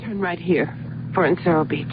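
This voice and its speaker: An actress playing a distraught, insistent wife who pleads earnestly, in the style of old-time radio drama. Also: female voice, lowish voice, steady tone, normal speed, music in the background, close to an everyday voice. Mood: anxious. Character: distraught, pleading wife of a missing truck driver. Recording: noisy, narrow-band old radio recording.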